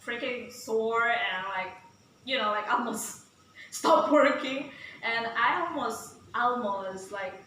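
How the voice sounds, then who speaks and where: A woman's voice: a little high, takes it slowly, fairly bright tone, slight background noise. Blunt, teasing woman in an echoey room. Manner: blunt and teasing, laughs often. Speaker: host's fiancee. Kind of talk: casual chat with fiancee. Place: home in Bali. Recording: echoey room, distant mic.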